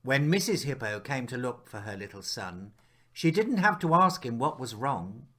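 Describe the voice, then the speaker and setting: A man narrating a children's picture book as its author, telling it expressively with dramatic pauses and hushed moments. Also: mid-range voice, sing-song. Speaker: author reading his picture book aloud.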